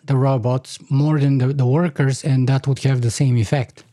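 A man's voice: medium pitch, flat delivery, normal speed, warm tone, no echo. A man, making an argument in a conversational podcast discussion in a lecturing way, often restarting his sentences. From Bulgaria. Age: 40s.

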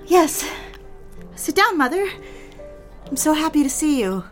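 Actress as fragile, high-voiced young wife, music underneath. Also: female voice, big pitch swings, unhurried. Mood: eager, bright. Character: needy, defiant newly married daughter, emotionally fragile. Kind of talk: dramatic audio fiction with first-person narration.

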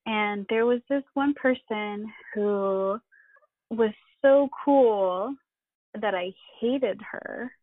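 A middle-aged woman from the Philippines, a family therapist, speaking candidly and reflectively with halting pauses and self-deprecating laughs. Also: medium pitch, no room sound. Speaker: podcast guest, family therapist. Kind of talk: candid personal conversation. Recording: tinny phone-like line.

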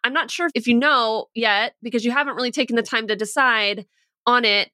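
A woman working as a life coach, explaining ideas in an animated way and acting out the questions that run through one's head. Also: a little high, brisk, no room sound, clean studio sound. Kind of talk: coaching conversation.

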